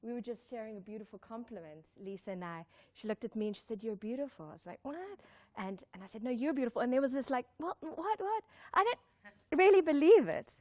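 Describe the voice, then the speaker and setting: Female motivational speaker, higher-pitched voice, emphatic delivery with repeated key phrases and direct questions to a live audience. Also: lively intonation, fast talker, a little mellow. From born in Zimbabwe, raised in South Africa. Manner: exclamatory, emphatic, repeats key lines, puts questions to listeners. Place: live talk before an audience.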